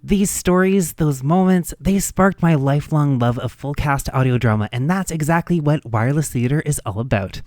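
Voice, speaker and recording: A man's voice: fairly high pitch, moderate pace, hardly any pauses, a little mellow, no echo, studio quality. A man hosting a radio show, reading a scripted introduction.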